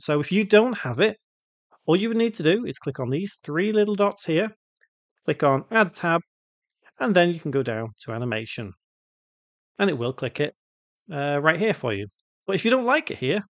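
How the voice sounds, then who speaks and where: Male tutorial presenter, slightly high pitch, step-by-step explainer delivery, dry close voice-over sound. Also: sing-song, fairly quick, no echo. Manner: explanatory, step-by-step, asking and answering own questions. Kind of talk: unscripted software tutorial narration.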